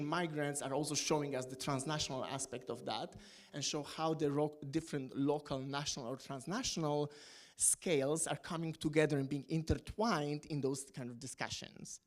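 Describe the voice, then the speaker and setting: A middle-aged man speaking English on an academic panel, hesitant, with many fillers and restarts. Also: a little high. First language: Polish.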